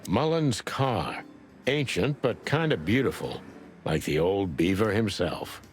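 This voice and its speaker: Casting: tough rooster detective, deep, dry and laconic, hard-boiled noir game. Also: male voice, lively intonation, unhurried, faint music behind. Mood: musing. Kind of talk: noir adventure game voice acting.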